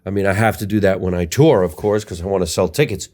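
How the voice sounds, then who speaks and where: A man with a very low-pitched voice hosts a casual podcast. He is a stand-up comedian who talks about his work in a blunt, matter-of-fact manner. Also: fast, darkish tone.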